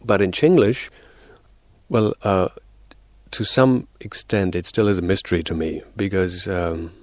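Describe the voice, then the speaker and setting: A man's voice: deep voice, a little slow, no room sound. A man as a guest on a radio interview, explaining at length with frequent fillers and restarts. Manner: hesitant, many restarts and fillers; explains in numbered theories.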